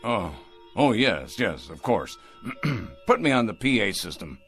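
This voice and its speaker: An actor playing a cold, pompous factory boss in a radio drama, with a theatrical, condescending manner. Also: male voice, fairly low pitch, very animated, unhurried, fairly bright tone, faint music behind, exaggerated voice. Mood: brisk, officious.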